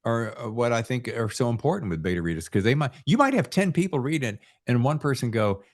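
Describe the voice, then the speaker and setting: A man's voice: medium pitch, animated, a little fast. A man hosting a casual chat, emphatic and given to acting out imagined reactions. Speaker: podcast host.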